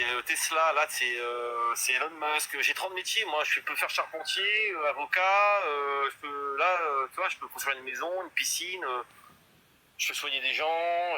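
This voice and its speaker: A man's voice: on the high side, moderate pace, pushed and bright, poor audio. A man in his fifties in a casual live chat, boastful and rambling, full of hesitations. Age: 50s. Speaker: guest in a live stream chat.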